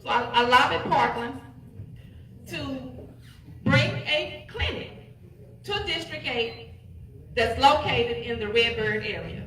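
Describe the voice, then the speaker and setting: A woman's voice: a little high, takes it slowly, pauses often, fairly bright tone. A woman in her sixties from Dallas, a city council candidate giving an earnest, repetitive opening statement at a public forum. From Dallas, Texas. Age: 60s. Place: community hall. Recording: echoey hall mic from a distance, light noise.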